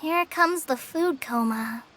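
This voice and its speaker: A woman's voice: high-pitched, slow, fairly bright tone, slight background noise. A woman voicing a friendly young girl in an anime video game. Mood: sleepy. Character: cheerful, friendly young girl on a camping trip.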